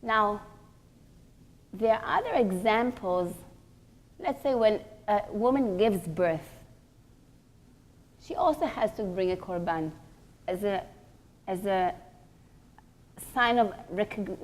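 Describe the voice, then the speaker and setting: A woman teaching a religious class in a deliberate, emphatic way, pausing between short phrases and posing rhetorical questions. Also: medium pitch.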